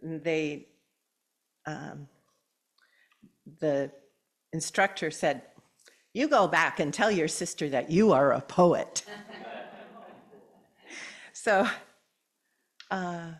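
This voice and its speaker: An older woman shares a personal story at a church gathering, gentle and given to soft laughter. Her voice sits a little low, and she takes her time, unhurried and deliberate. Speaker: church speaker. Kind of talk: personal story and poem reading.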